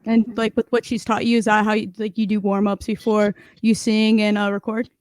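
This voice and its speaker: A woman's voice: mid-range voice, little pitch movement, brisk, a little mellow, no room sound. A young woman hosting an interview, chatting casually with frequent likes and mm-hmms. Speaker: interviewer, podcast host.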